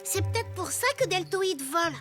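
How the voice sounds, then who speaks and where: Eager wooden puppet boy sleuth for a cartoon, voiced by a woman, very high and bright, children's music behind. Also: expressive, moderate pace. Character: small cheerful wooden puppet boy detective. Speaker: lead character. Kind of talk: dubbed cartoon acting.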